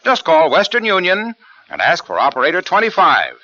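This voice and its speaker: A man announcing a radio commercial in an emphatic, lively sales delivery. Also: high-pitched, fairly quick. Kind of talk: 1940s radio commercial pitch. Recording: dull old radio broadcast.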